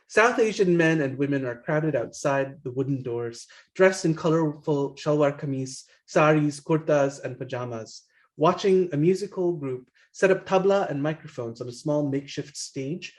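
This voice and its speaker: A man reading his own poetry aloud during a virtual workshop, pausing with soft fillers between poems, heard through a video call. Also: a little high. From born in London, family from Guyana. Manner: reads in second person, stops with soft fillers between poems. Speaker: poet reading his work.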